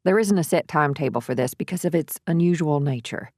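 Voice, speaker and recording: An earnest female defense lawyer with a low voice. She is measured and soothing, reassuring as she goes, in dramatic audiobook dialogue recorded dry. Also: animated, a little mellow, no room sound.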